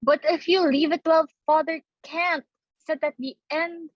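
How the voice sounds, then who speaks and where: Woman acting sweet young daughter, very high voice, girlish, naive, stage comedy, dull lo-fi recording. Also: fairly even intonation, a little slow, no room sound. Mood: worried. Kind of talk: dramatic reading of a stage comedy.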